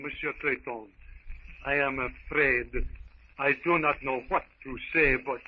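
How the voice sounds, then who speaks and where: An actor playing a grave, frank lieutenant, speaking formally and emphatically in a 1930s radio serial. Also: male voice, mid-range voice, steady tone, measured pace, slightly rough. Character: grave, frank French lieutenant leading a jungle search. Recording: muffled 1930s radio recording, faint noise.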